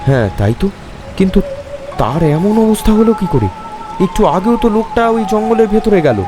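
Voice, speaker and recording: A young village man, voiced by a man in an animated horror tale keeps his voice firm and level. His voice sits slightly high. Music and rain play under him. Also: brisk. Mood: uneasy. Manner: firm, then stuttering under fright. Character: steadier young village man, trying to keep calm. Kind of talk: animated horror story dub.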